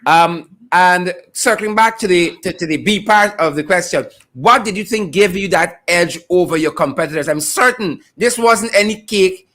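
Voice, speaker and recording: Male host, higher voice, friendly and encouraging. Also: normal speed, pushed and bright. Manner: friendly, short encouraging replies. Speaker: interview host. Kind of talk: casual interview.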